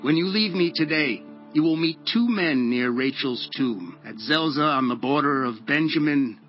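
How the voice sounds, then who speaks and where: An actor playing a solemn, commanding prophet in a dramatized audio Bible, with measured, declamatory delivery. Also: male voice, on the high side, animated, natural voice. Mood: solemn, prophetic. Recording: dull lo-fi audio with music. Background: background music.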